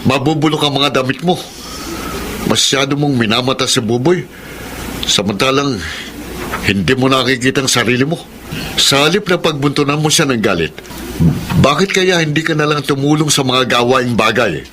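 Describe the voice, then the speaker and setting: A male actor as a principled, middle-aged father in a radio drama. He speaks at an ordinary male pitch with firm conviction, over clearly noisy ambience. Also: lively intonation, moderate pace, pauses often, lightly acted. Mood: exasperated, scolding. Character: exasperated, principled father defending a homeless boy. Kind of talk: Tagalog radio drama acting.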